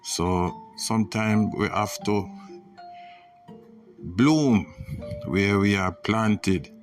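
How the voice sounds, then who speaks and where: Jamaican male podcast host, low and unhurried, reflective motivational chat, recorded at a distance from the mic. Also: fairly even intonation. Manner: reflective, pausing often, repeats "you know". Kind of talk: casual motivational monologue. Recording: distant mic with music behind.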